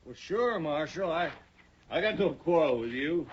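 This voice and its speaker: An actor playing a sly, sullen gunslinger in a 1950s radio western, stammering with false friendliness. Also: male voice, on the high side, lively intonation, measured pace, husky. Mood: menacing. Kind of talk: 1950s radio drama acting. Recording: muffled old radio broadcast.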